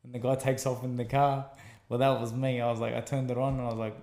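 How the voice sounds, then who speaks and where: A man's voice: medium pitch, quick pace, reverberant. A man with an Australian accent, a former boxer being interviewed, telling his story casually and acting out what people said, often repeating himself and adding "man". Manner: animated storyteller acting out quotes, repeats phrases, says man a lot. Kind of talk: conversational storytelling.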